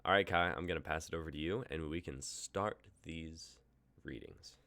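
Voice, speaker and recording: Low-voiced young man hosting a stream. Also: normal speed, bright, forceful tone. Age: 20s. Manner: upbeat, jokey, running the show. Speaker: host. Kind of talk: casual livestream chat.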